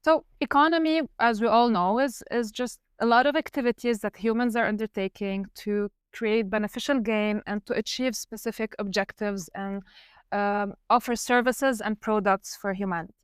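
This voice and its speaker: Woman from Lebanon, 30s, podcast guest; smooth, clear voice, measured, dry close sound. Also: medium pitch, dry recording. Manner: explanatory, measured, with fillers. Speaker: guest researcher. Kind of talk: podcast interview.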